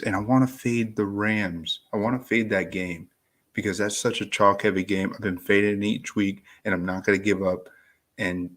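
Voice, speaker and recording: A man who just woke up, sounding groggy, rambling in a slow, laid-back live-stream chat about fantasy football. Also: low-pitched, fairly bright tone. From Florida. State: groggy and deep-voiced from little sleep.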